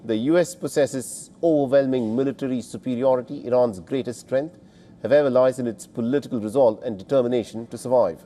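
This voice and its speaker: A man's voice: mid-range voice, a little fast, warm tone. A man reading scripted news narration as a presenter, in a formal, emphatic delivery.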